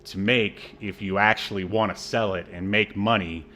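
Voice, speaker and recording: A man's voice: on the low side, fairly even intonation, moderate pace, bright, forceful tone, slight background noise. A man co-hosting a casual film discussion podcast, talking in a hesitant, rambling, sardonic way. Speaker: podcast co-host.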